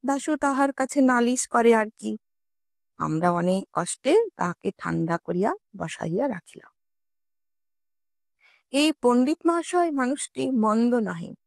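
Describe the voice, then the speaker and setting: A woman narrating a scripted story expressively, in a measured storytelling manner with formal literary diction. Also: fairly high pitch, expressive, gravelly, no echo, studio quality. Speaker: audiobook narrator.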